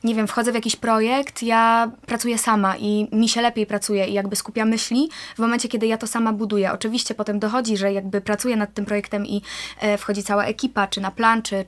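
A woman in a casual interview, talking at length and reflecting with frequent fillers. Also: a little high. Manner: talkative, reflective, many fillers. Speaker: interview guest, actress and singer.

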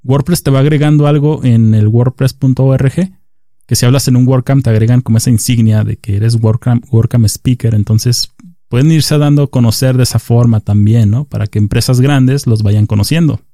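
A man's voice: medium pitch, steady tone, normal speed, dark tone, no room sound, clean studio sound. A man hosting a casual interview, chatty and explaining things to his listeners. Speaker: podcast host.

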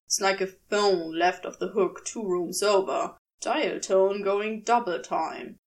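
A woman voicing an awkward, gruffly caring man with a drawling, hesitant delivery in a story reading. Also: medium pitch, slow, recorded from a distance, pitched below the performer's own voice, close to an everyday voice. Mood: exasperated.

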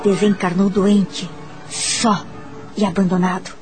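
An actress playing a mature, confident, happy woman telling her life story in a measured, reflective way in a Brazilian radio soap opera. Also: medium pitch, little pitch movement, natural voice. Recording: music behind the voice.

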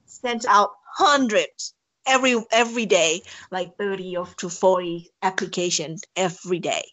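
Hesitant Thai-accented woman, lowish voice. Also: moderate pace, a bit bright, no room sound. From Thailand. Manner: hesitant, many fillers and restarts. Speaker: interviewee. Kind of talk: casual interview conversation.

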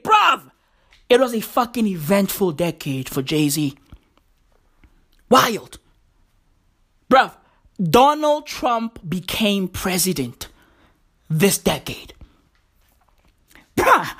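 A woman's voice: on the high side, breathy edge, exaggerated voice. A woman playing a cocky young man nearing thirty in a comic monologue. He swears a lot and keeps saying "bruv" and "legit". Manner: brash, swears often, repeats slang like bruv and legit.

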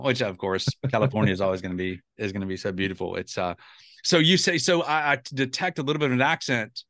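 Bright, dry-voiced rambling male host. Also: mid-range voice, dry recording. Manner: rambling, restarts often, chuckles. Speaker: podcast host. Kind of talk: casual interview chat.

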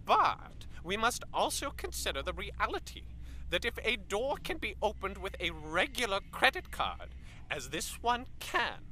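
A man's voice: sits high, big pitch swings, measured pace, sharp tone, full character voice. A man playing a pompous, philosophical professor who lectures in a lofty, musing way. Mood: measured. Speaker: professor interviewed on a broadcast.

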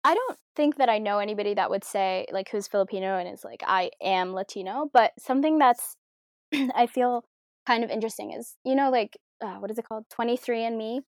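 A woman's voice: fairly high pitch, a little fast, no echo. Young woman, interviewee, reflective, lots of fillers, dry sound. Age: young adult. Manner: reflective, many fillers and hedges. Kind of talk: casual conversational interview.

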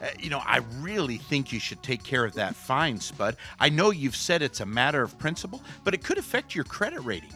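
Actor as a bright, animated, earnest male sidekick. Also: mid-range voice, fairly quick, few pauses, faint music behind. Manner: earnest, prim, hedging. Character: earnest, principled, mildly preachy male radio sidekick. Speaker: radio co-host. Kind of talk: comic radio banter.

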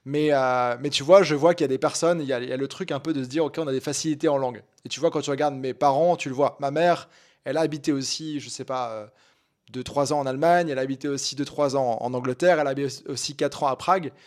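A man from France hosting a casual podcast, talking in a relaxed, rambling way. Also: medium pitch, fast. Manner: relaxed, rambling, many fillers. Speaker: podcast host.

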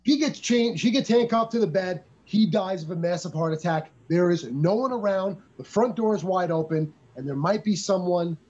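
A man casually reviewing a movie as a podcast co-host, excitable and swearing as he acts out his reactions. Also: high voice, normal speed, few pauses, muffled recording.

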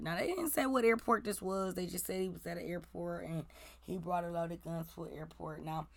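A woman in her forties hosts celebrity gossip with a slightly raspy voice that still cuts through bright and forceful. She talks in a blunt, profane, ranting way. The sound is dry, with no room around it. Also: mid-range voice, no echo. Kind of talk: casual gossip commentary.

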